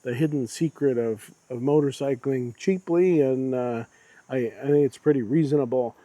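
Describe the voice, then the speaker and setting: Man, medium pitch, mellow relaxed tone, dry close sound, casual unscripted review, conversational and rambling. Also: moderate pace, no echo. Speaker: product reviewer and video creator.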